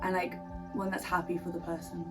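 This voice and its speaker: A woman's voice: lowish voice, little pitch movement, fairly quick. A young woman, a college freshman, searching for words as she answers. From Bristol, England. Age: young adult. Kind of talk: documentary interview answers. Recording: distant mic with music underneath.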